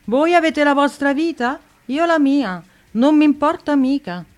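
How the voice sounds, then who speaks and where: A woman's voice: sits high, unhurried. An actress playing Clara, a bossy, self-assured elderly woman in her seventies, who scolds in a matter-of-fact way in a radio play. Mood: lecturing. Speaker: actor.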